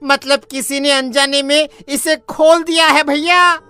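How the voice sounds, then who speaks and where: Woman as a high, sharp, insistent villager. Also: fairly even intonation, unhurried, dry recording, soft background music. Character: anxious villager reasoning with neighbours.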